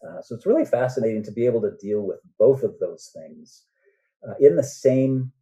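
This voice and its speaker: A man's voice: medium pitch, very animated, moderate pace, mellow, breathy edge, poor audio. An older man talking as a guest author in an interview, explaining his thoughts earnestly in a conversational way.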